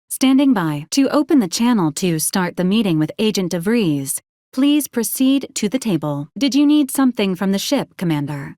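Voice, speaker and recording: A woman playing a formal, dutiful female ship's AI in a video game, speaking in a measured, clipped, impersonal way. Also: mid-range voice, a little mellow, dry recording, clean studio sound, lightly acted. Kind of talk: scripted video game voice acting.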